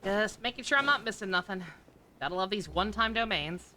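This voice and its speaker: A man's voice: sits high, animated, brisk, pushed and bright. A man streaming a video game with casual, rambling commentary, often hesitating and trailing off mid-thought. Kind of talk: casual livestream commentary over gameplay. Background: game music.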